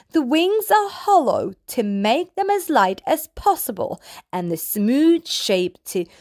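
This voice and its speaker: Woman, children's read-aloud host, unhurried pace, animated intonation, playful storybook delivery. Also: a little high. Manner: addresses small children, playful sound effects.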